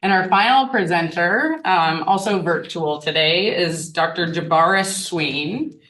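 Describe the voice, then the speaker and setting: A woman with a loud voice moderating an academic panel, introducing speakers with frequent ums. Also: lowish voice, measured pace, echoey room, poor audio. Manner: loud, upbeat, with frequent fillers. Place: university seminar room.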